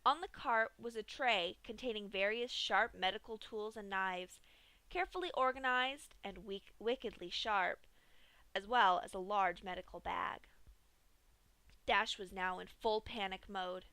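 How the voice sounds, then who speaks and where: Young female story reader, bright and higher voice, dry close mic. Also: a little slow, no room sound. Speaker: fanfic reader. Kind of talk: dramatic story reading.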